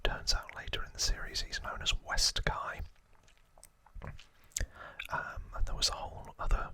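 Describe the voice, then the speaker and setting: A man whispering trivia as an ASMR host, in a hushed, informal and unhurried explaining manner.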